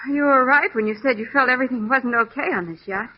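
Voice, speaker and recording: An actress playing a plucky, eager, stubborn detective's assistant in a 1940s radio drama, with a perky, insistent delivery. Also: female voice, high voice, fast. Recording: muffled, lo-fi old radio recording.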